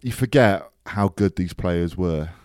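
A man's voice: sits low, expressive, a little slow, a little mellow, dry recording, studio quality. A man co-hosting a casual sports podcast, rambling with frequent ums and getting enthusiastic about rugby. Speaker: podcast co-host.

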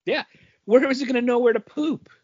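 A man co-hosting a podcast in casual chat, with a rambling, halting delivery. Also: very high voice. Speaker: podcast co-host. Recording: dull, dry lo-fi audio.

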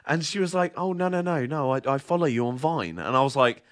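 A man's voice: on the high side, expressive, brisk. A young man from southern England, chatting casually as a podcast co-host and telling stories with lots of fillers and restarts. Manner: rambling storyteller, many fillers and restarts. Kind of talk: casual chat.

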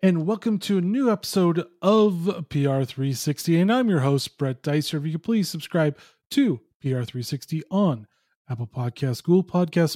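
A man's voice: a little high, mellow, dry recording, clean studio sound. A man hosting a podcast, speaking in a conversational interview style. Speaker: podcast host.

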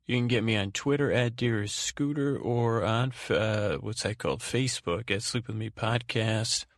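A man hosting a bedtime podcast in a gravelly voice, meandering and halting as he trails off mid-thought. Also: lowish voice, no echo, studio quality. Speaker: podcast host. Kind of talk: rambling bedtime podcast monologue.

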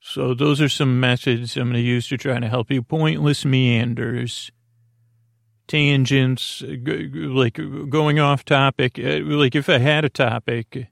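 A man hosting a sleep podcast in a creaky, lulling voice, rambling gently and haltingly with self-deprecating tangents. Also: mid-range voice, steady tone, no echo, clean studio sound. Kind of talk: rambling, soothing bedtime monologue.

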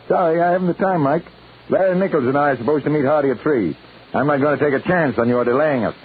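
A man's voice: a little high, fast talker, natural voice. An actor playing a stubborn, wealthy middle-aged father desperate for his lost daughter, in 1940s radio drama style. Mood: stubborn, irritated. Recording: scratchy old radio broadcast, narrow band, noisy.

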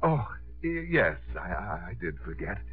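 Theatrical, swooping romantic suitor. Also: male voice, low-pitched, very animated, a little slow, husky, heavily acted. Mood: ardent. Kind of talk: 1950s radio romantic comedy acting. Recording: tinny 1950s radio broadcast.

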